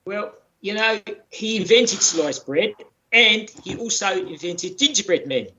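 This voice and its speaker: Deadpan male quiz guest, muffled. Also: high-pitched, measured pace, a little hiss or hum.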